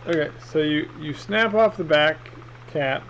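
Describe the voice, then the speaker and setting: Slow-talking man, rambling and unscripted, muffled recording. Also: a little high, fairly bright tone. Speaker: amateur product reviewer. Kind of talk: casual unboxing vlog chat. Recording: muffled, with clear background noise.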